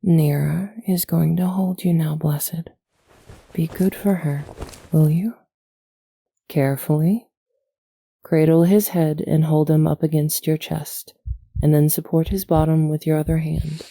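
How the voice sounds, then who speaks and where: A woman acting in an audio drama as a devout, composed woman who gently scolds and instructs in formal phrasing. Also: low voice, fairly even intonation, a little slow, gravelly, pauses often, slightly rough, no echo, exaggerated voice. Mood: reverent, careful.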